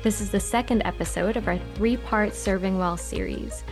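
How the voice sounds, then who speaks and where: Lady hosting a podcast, upbeat scripted, quiet music behind. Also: mid-range voice, moderate pace. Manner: polished, upbeat. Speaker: podcast host.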